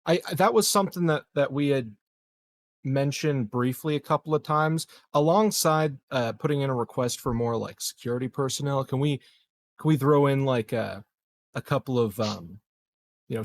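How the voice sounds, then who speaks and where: A man playing a tabletop game, planning casually in a tentative, halting way with repeated words. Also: medium pitch.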